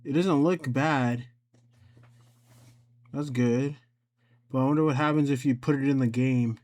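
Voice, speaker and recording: A man presenting an informal step-by-step software tutorial, rambling a little and thinking out loud as he goes. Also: medium pitch, unhurried. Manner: informal, rambling, thinks aloud and talks to himself. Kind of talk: casual screen-recorded software tutorial.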